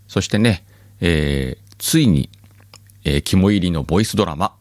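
Rich: A male podcast host with a very low voice that still moves lively up and down, chatting casually. He is recorded dry and close. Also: no echo. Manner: relaxed, chuckling asides. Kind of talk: casual chat.

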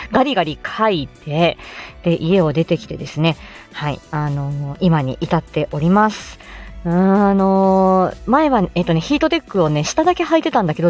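A woman's voice: fairly low pitch, a little mellow. A woman chatting casually as a live-stream host, greeting listeners from inside a car.

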